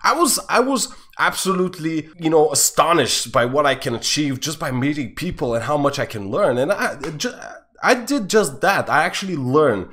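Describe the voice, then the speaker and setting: A young man from Bulgaria, a Bulgarian native speaker, telling a rambling gaming story in casual English full of fillers and restarts. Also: normal speed. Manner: rambling, many fillers and restarts, lively swings in pitch and loudness. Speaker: storytime YouTuber.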